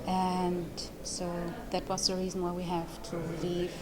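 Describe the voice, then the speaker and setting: A woman in her thirties from Eritrea whose first language is Tigrinya, answering interview questions with many pauses and ums. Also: fairly low pitch, monotone, crowd noise. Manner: hesitant, with many ums and restarts, laughing lightly. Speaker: lawyer and human rights advocate.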